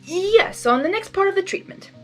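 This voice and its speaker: A woman's voice: very high-pitched, animated, moderate pace, a bit bright, soft background music, pitched above the performer's own voice. A woman voicing a playful male chaos spirit in a fan fiction reading, theatrical and doting. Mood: fussing.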